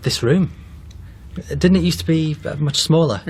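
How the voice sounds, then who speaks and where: A man acting an eager, hesitant long-lost son in a naturalistic radio drama, speaking haltingly. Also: fairly high pitch, gravelly, husky. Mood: careful, nostalgic. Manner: halting recollection.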